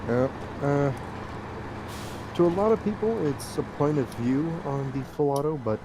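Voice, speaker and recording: A man chatting casually as a livestream co-player, opinionated and somewhat halting as he makes his points. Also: fairly high pitch, lively intonation. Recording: clear background vehicle noise.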